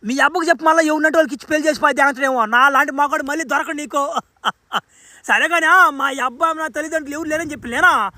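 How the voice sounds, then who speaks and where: Man voicing a very high-pitched brash cartoon lad. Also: fast talker, bright, forceful tone, dry recording, heavily acted. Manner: colloquial, crude, exclamatory. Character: brash, foul-mouthed young superhero son, easily baffled. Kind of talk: amateur cartoon dub.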